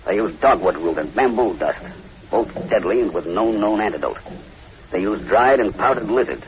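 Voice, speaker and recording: Man acting a grim, low-voiced plantation manager. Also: expressive, moderate pace, natural voice. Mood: insistent, warning. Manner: earnest, foreboding, clipped. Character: grim, uneasy male plantation manager, long-time islander. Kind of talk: 1940s radio drama acting. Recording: muffled, very noisy old radio recording.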